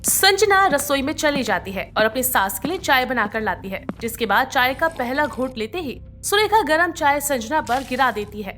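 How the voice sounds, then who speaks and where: High, lively woman narrating a story. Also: moderate pace, few pauses, soft background music. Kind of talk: scripted narration of a moral bedtime story.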